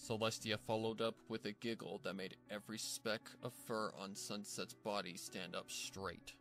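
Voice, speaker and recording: A man's voice: fairly low pitch, level tone, moderate pace, a bit bright, soft background music. A man reading scripted story narration as the narrator of a fan fiction tale.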